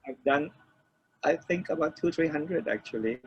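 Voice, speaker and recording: An older man from Malaysia, reminiscing as an interview guest in a halting way, repeating phrases as he explains. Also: fairly high pitch, slightly rough. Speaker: interview guest, record producer. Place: room with shelves of DVDs, on a remote call. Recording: dull lo-fi call, faint noise.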